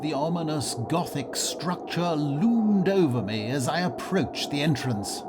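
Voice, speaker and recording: An actor playing a polite, excitable Victorian nobleman in a comedy radio play, with a theatrical, pompous and well-mannered delivery. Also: on the high side, measured pace. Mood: anxious, dramatic. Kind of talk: melodramatic first-person radio theatre narration and acting. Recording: noisy ambience behind the voice.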